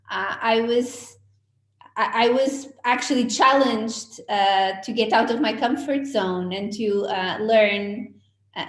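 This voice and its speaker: A woman whose first language is Portuguese, an editor speaking thoughtfully, with many pauses and fillers, at an online book launch. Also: fairly high pitch, echoey room.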